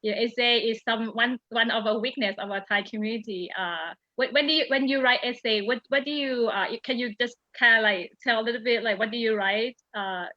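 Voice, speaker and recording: A woman host asking questions haltingly, with many "uh" and "you know" fillers. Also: a little high, sharp tone, dry recording. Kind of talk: interview questions. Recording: dull lo-fi sound.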